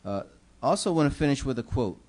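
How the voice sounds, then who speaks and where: A man's voice: medium pitch, lively intonation, a little fast, darkish tone. A middle-aged man, a city commissioner, giving earnest, preacherly holiday remarks into a desk microphone in a council chamber. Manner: earnest, preacherly, many fillers. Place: council chamber, seated at dais. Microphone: desk microphone on gooseneck.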